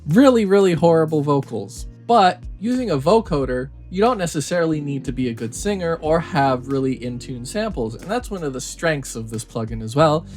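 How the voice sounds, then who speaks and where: A man presenting a software tutorial, explaining things step by step in a casual way. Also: medium pitch.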